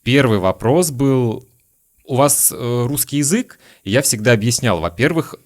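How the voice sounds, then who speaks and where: A man from Lithuania, a native Lithuanian speaker, hosting a relaxed interview podcast and asking long, searching questions. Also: medium pitch, a little slow. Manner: asks long, searching questions and shares his own stories. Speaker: podcast host.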